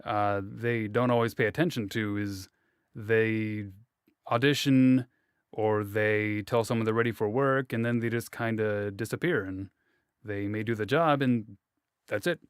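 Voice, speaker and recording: A man's voice: lowish voice, steady tone, measured pace, clear, resonant tone, no room sound, clean studio sound. A man hosting a casual interview, asking long rambling questions with hesitations and restarts. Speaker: interviewer, host.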